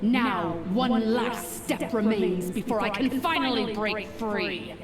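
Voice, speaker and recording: Slow, haughty, vengeful ancient machine overlord. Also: female voice, on the high side. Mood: gloating menace. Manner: grandiose, menacing, contemptuous, theatrical. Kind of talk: scripted video game voice acting. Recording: echoey, distant, with music behind.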